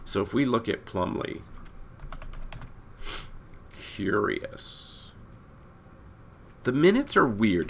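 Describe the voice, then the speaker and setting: A hoarse man who is losing his voice, hosting a casual fantasy basketball breakdown that rambles and corrects itself as he talks to viewers. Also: on the low side, fairly bright tone, a little hiss or hum. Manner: rambling, self-correcting, addresses viewers as guys. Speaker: fantasy sports analyst host. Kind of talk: casual screen-share breakdown.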